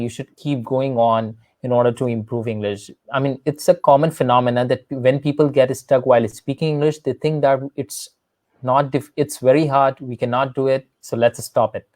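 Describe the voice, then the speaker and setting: A man's voice: mid-range voice, fairly even intonation, moderate pace, a little mellow, dry recording, studio quality. A man from Pakistan, an English teacher, talking earnestly in a casual conversation and often restarting with "I mean". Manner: earnest, repeats himself with "I mean".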